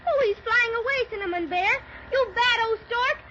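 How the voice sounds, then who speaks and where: A woman's voice: very high voice, moderate pace, sharp tone, a little hiss or hum. A performer playing an earnest, polite young girl in a 1930s radio drama. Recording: dull old 1930s radio transcription.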